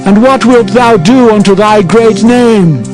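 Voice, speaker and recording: A man's voice: high-pitched, unhurried. A man playing a grave, fervent leader of ancient Israel in dramatized scripture, declaiming solemnly. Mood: anguished lament. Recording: music behind the voice.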